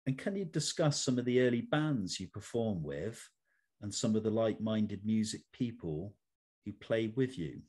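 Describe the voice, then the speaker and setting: Guy interviewer, deepish voice, formal prepared questions, kinda wordy. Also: expressive, normal speed, darkish tone, husky. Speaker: interview host.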